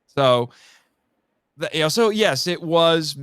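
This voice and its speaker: A man's voice: on the high side, lively intonation, slow, fairly bright tone, clean tone, no echo, studio quality. A man in a casual film discussion, speaking enthusiastically and repeating phrases for emphasis like an expert guest. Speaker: podcast guest and monster movie expert.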